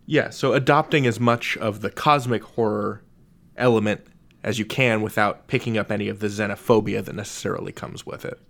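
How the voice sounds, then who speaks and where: A woman's voice: a bass voice, fairly even intonation, brisk. A woman hosting a podcast interview in a casual, chatty style.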